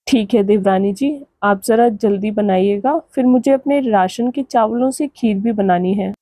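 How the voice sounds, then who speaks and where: A woman's voice: medium pitch, normal speed, dark tone, dry recording, close to an everyday voice. A woman voicing a meek, polite young village daughter-in-law in a cartoon moral story. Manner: polite, deferential.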